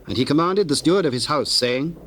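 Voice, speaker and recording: A man narrating scripture in a formal, measured storytelling manner. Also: fairly high pitch, expressive, fairly bright tone. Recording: noisy old cassette tape.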